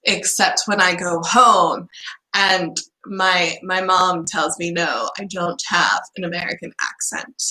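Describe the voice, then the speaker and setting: A young woman talking casually to camera as a student vlogger. Her voice is bright and forward, and it is getting a little worn as she starts to lose it. She rambles in a frustrated, ranting way. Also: medium pitch, echoey room, rough recording. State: losing her voice. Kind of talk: casual vlog chat.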